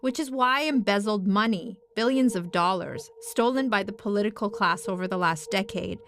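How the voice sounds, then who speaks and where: Woman reporter from afghanistan, deliberate podcast narration, steady mid voice. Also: mid-range voice, slight background noise. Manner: measured, deliberate delivery with pointed emphasis. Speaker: investigative reporter and host. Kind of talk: scripted documentary narration.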